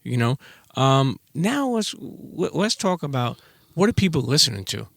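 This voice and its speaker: A man's voice: a little high, very animated, a little slow, gravelly, dry recording. A man hosting a casual interview podcast, colloquial and talking straight to his listeners. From Brooklyn, New York. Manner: colloquial, addresses listeners directly. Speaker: podcast host.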